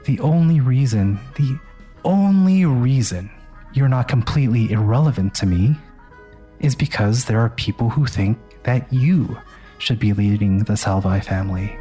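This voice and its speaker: A man's voice: mid-range voice, dark tone, close to an everyday voice. A man plays a smug heir in a crime-family audio drama, cold and condescending. He takes his time over every phrase, drawing out the contempt with mockery, as music plays underneath. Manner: mocking, drawn-out, contemptuous.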